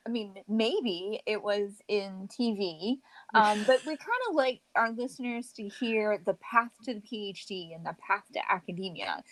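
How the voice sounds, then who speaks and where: A woman co-hosting a podcast, introducing segments and asking questions in a measured way with some ums. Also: on the high side, dry recording, poor audio. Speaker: podcast co-host. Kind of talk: casual interview conversation.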